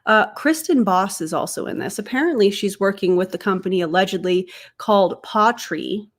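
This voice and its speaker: A woman's voice: medium pitch, moderate pace. Congested woman chatting on a livestream. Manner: chatty, laughs easily, talks to chat. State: congested, getting over being sick. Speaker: streamer and commentator. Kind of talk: casual livestream chat.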